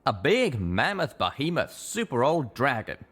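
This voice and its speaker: A man's voice: medium pitch, very animated, measured pace, fairly bright tone, slightly rough, voice pushed up, exaggerated voice. A man voicing a cheerful tinkering cowboy pegasus with a folksy drawl and rustic slang, in a storybook reading. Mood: emphatic.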